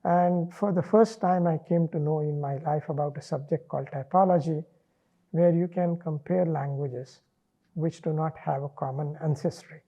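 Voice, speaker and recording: Middle-aged Indian-accented man, native Marathi speaker, husky and measured, a bit lo-fi. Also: fairly high pitch, steady tone. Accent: Indian English. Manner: measured, thoughtful, frequent "uh" pauses. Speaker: interviewee, linguist. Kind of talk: relaxed reflective interview.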